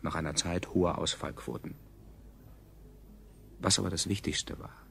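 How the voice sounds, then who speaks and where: Casting: sardonic science-fiction chronicler, gravelly low voice, deadpan radio-drama delivery. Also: male voice. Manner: deadpan, clinical, ironic. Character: male chronicler of a doomed science colony, coolly sardonic. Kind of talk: scripted radio play narration. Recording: 1970s radio production, clear background noise.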